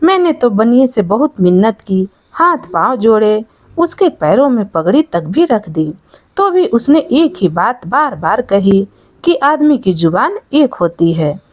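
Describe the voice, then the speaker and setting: Woman acting an old peasant father, humble, aggrieved, plaintive, slow, animated, folk storybook tale. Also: a little high, no echo. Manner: pleading, plaintive, simple. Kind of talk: Hindi moral storytelling.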